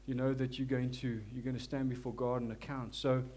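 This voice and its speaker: A man with a South African accent preaching a church sermon, earnest and humble, with frequent restarts and softer, slower prayer passages. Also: medium pitch, little pitch movement. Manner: prays softly and slowly. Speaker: lay preacher.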